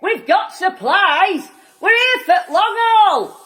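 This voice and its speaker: A defiant Yorkshire woman in a radio play. Her voice sits very high and swings widely. She is blunt, salty and stubborn, taking her time over her words. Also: lively intonation, takes it slowly, a bit bright, full character voice. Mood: defiant, exasperated. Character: stubborn, defiant, sharp-tongued Yorkshire woman protesting underground. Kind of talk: audio drama acting.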